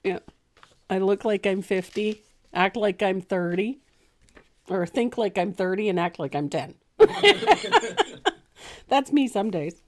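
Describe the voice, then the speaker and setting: A woman's voice: mid-range voice, very animated, takes it slowly, long pauses. A woman in her sixties teaching a painting lesson in a casual, chatty way, laughing often and joking about herself. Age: 60s.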